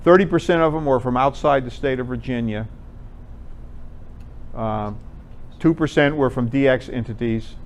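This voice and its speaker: A man's voice: medium pitch, moderate pace, pauses often, a little hiss or hum. A man giving an informal, explanatory slide presentation to a group in a conversational way. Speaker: presenter at an amateur radio club talk.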